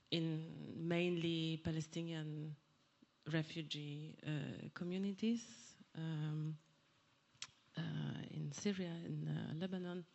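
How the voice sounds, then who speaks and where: Halting woman. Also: sits low, a little mellow. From Poland. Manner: halting, many fillers and restarts. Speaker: audience member asking a question. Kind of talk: hesitant public remarks. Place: theatre hall at a public event.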